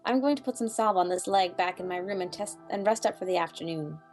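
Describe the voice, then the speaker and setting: A woman voicing an elegant, kindly female unicorn singer in an audio drama, smooth and gently solicitous. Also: on the low side, fast talker, soft background music, lightly acted. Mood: polite, hesitant.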